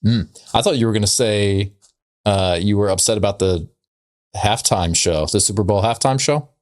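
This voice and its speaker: Fairly low-voiced man bantering casually. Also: normal speed. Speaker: podcast co-host.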